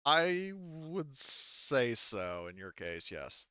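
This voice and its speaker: Man, game master, casual improvised narration, clear smooth voice, dry, slightly muffled recording. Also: mid-range voice, sing-song, drawn-out words, sharp tone, no room sound.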